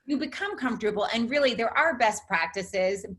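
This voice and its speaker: Lively woman with a faint Jersey accent. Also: fairly high pitch, normal speed. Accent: slight New Jersey. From Illinois, Chicago suburbs. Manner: lively storyteller, self-deprecating, emphatic. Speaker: on-camera consultant, podcast guest. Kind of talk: casual interview chat.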